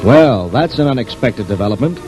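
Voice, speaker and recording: A male announcer delivers dramatic 1940s radio serial narration. His medium-pitched voice moves expressively over music on an old recording. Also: lively intonation, brisk, rough recording.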